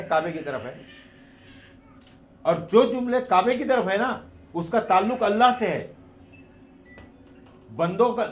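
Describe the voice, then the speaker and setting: A man's voice: high-pitched, slight background noise. A man with a Mumbai Hindi accent making an emphatic explanatory religious argument, posing rhetorical questions and repeating his points. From India. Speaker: activist and petitioner giving a speech. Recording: echoey, roomy sound.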